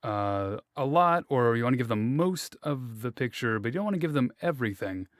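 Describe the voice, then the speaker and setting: A man's voice: medium pitch, lively intonation, fairly quick, no echo. Rambling male interviewer, dry close sound. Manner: rambling, hesitant, full of fillers and restarts. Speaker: interviewer, host. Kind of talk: casual interview chat.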